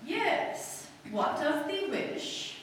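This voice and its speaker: A woman playing a prim Quaker librarian in an old-time radio comedy, with drawn-out phrasing. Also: extremely high pitch, big pitch swings, exaggerated voice. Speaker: actor in a live radio play. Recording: very echoey hall, distant mic, faint hiss.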